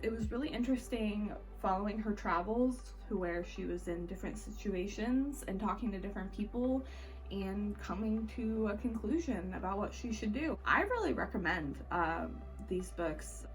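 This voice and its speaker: A woman chats informally through a book review, in the manner of a video blogger. Her talk is conversational, with wry little asides, and her pitch sits in a middle range for a woman. Music plays faintly behind her. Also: expressive, moderate pace, rough recording. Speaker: book vlogger. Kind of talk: casual chatty book review.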